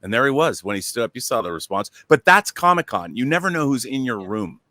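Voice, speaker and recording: A man's voice: mid-range voice, sing-song, quick pace, bright, forceful tone, no echo. A man chatting casually as a panel show guest, emphatic and effusive. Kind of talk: casual animated chat.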